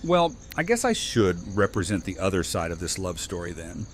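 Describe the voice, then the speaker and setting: An actor plays a sincere, gentle man in a fan audio drama. His voice sits a little low, with faint noise behind it. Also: big pitch swings, normal speed. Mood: tender, sincere. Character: Aaron, gentle, sincere man giving wedding toast.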